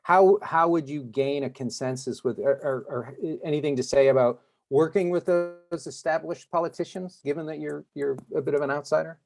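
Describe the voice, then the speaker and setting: A man's voice: on the high side, normal speed, creaky, hardly any pauses, a little mellow. A man interviewing a candidate in a loose, casual way, often tagging "right?" and "yeah" onto his questions.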